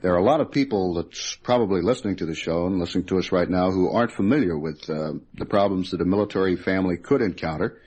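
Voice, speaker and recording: A man with an American accent conducting a semi-formal radio interview as a reporter, asking questions in a halting way. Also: low-pitched, a little mellow, breathy edge.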